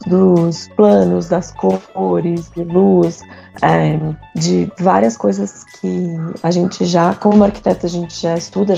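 Hoarse, gravelly-voiced woman architect. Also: on the low side, dark tone. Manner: halting, many pauses and fillers. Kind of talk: casual podcast chat. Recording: dull lo-fi sound with faint music.

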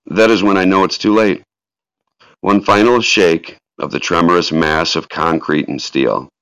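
A man's voice: sits low, measured pace, long pauses, fairly bright tone. A man hosting a live podcast, speaking in a solemn reading style or in casual talk. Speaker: host of a live podcast reading a tribute aloud. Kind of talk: solemn dramatic reading and casual call-in chat. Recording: echoey room, dull lo-fi sound.

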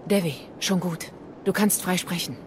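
A woman's voice: fairly low pitch, normal speed, noisy background, lightly acted. An actress playing a proud, determined Indian warrior queen in a dramatic audio play, composed, commanding and dry. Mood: commanding, wry. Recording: voice under loud music.

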